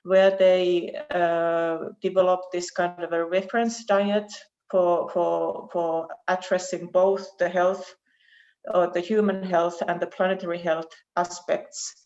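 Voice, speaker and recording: Halting Finnish woman, low-pitched, dull audio. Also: level tone, a little mellow. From Finland. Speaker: research professor presenting at a webinar. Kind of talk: academic presentation. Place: online video call. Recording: dull, muffled online call audio.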